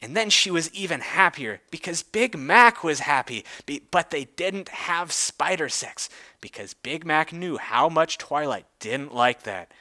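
A man reads a story aloud with theatrical grandeur. His voice sits a little high and swings widely in pitch. The tone is bright and crisply pushed forward, played as mock drama. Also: animated, a little slow. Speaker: narrator.

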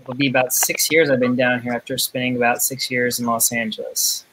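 A man's voice: mid-range voice, little pitch movement, a little fast, a bit bright, slight background noise, poor audio. A young man, an actor guest on a video call from home, easygoing with many hesitations. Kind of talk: casual video call chat. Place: home room.

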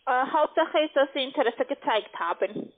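Woman, high voice, non-native speaker, call centre questioning, tinny telephone sound. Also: lively intonation, moderate pace. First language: not German. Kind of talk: phone sales questioning. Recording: tinny phone line.

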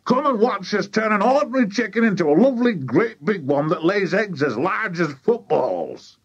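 A man voicing an excitable, blustering, impatient father in a children's story, sputtering with excitement. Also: medium pitch, normal speed, slightly rough, muffled recording, voice pushed up. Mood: triumphant, excited.

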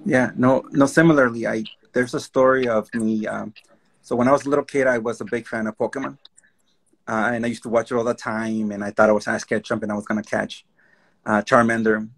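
A man hosting a casual panel discussion over a video call, telling stories in a relaxed, conversational way. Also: medium pitch, brisk. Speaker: panel host. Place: room at home, indoors.

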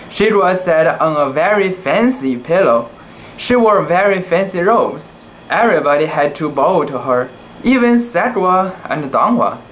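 Slow, expressive young male storybook reader. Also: fairly high pitch, a little hiss or hum, poor audio. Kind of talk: dramatic children's book reading with asides.

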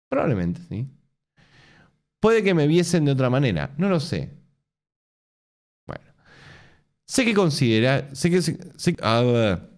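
Argentine man, 30s, streamer; sarcastic, quick to laugh, very lively intonation. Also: a little high. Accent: Argentine Spanish. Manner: laughs often, sarcastic jokey asides. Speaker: streamer reading a forum post aloud. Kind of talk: casual live-stream reading with mocking asides. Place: home room with bookshelves.

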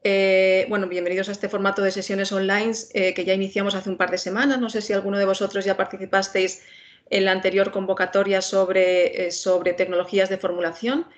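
A woman's voice: mid-range voice, level tone, brisk, muffled recording. A woman with a Spain Spanish accent moderating an online webinar in a friendly manner, with many filler sounds. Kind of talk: webinar introduction.

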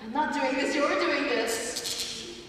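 A young woman acting in an amateur stage play, lively and given to loud excited shouts, recorded from the audience in a theater. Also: high-pitched, takes it slowly. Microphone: far audience camera. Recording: echoey hall from far off, faint noise.

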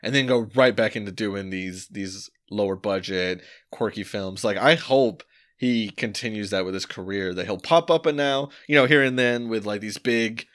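A relaxed male podcast host with a slightly low voice. He chats loosely about films, laughs easily and keeps the show moving from one segment to the next. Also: normal speed. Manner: laid-back, laughs often, leads into segments. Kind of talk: casual film-podcast chat.

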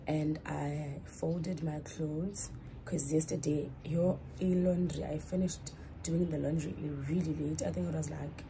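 A woman's voice: deep voice, fairly even intonation, measured pace, creaky, dark tone, a little hiss or hum, poor audio. A young woman, chatting to her phone camera in a small dorm room in a rambling, self-correcting way. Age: young adult. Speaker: student vlogger. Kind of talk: casual vlog chat to camera. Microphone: phone camera at arm's length.

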